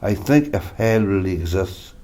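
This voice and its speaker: A man playing an elderly war veteran grandfather in a radio drama, sombre and bitter, speaking in a grave, reflective, deliberate way. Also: sits low, animated, warm tone. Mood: haunted, reflective. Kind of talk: amateur radio drama monologue.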